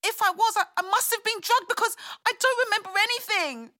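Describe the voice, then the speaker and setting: A woman's voice: very high voice, fairly quick, pushed and bright, no room sound, studio quality. A young woman acting as a distraught, apologetic pregnant daughter in an audio drama, pleading and hesitant. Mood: confused, earnest. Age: young adult.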